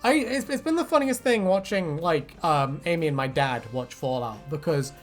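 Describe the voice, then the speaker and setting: A man's voice: a little high, lively intonation, moderate pace, soft background music. A man hosting a casual fan discussion, chatting in a rambling, sweary way. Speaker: video host.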